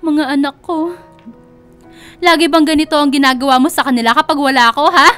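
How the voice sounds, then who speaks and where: An emotional, protective mother in a radio drama. Her voice is high and piercingly bright, with dramatic delivery over faint music. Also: steady tone, fairly quick. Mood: furious, shocked.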